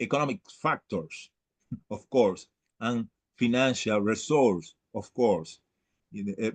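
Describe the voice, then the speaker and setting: Slow, halting man lecturing online. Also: on the low side, slightly rough. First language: not English. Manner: halting, searching for words, repeating phrases for emphasis. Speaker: lecturer leading an online class. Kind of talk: lecture and discussion on a video call. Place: online video call.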